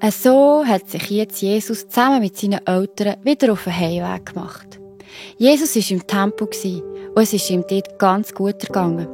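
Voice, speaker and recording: A woman narrates a children's story in a Swiss German accent. Her voice sits at a medium pitch, and she explains things simply and expressively over soft music.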